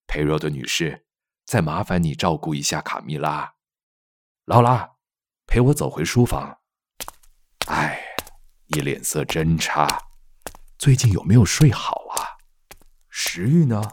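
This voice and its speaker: A man playing a reassuring, talkative middle-aged father in an audio drama, explaining things in an expressive, fatherly way. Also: very deep voice, measured pace, pauses often, slight background noise, heavily acted. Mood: fatherly concern.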